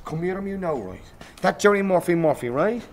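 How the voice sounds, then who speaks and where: A man's voice: sits high, lively intonation, a little fast, background noise. An actor playing an evasive, prickly young man with a Cork Irish accent in a mock-documentary radio drama. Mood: irritated, defiant. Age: young adult.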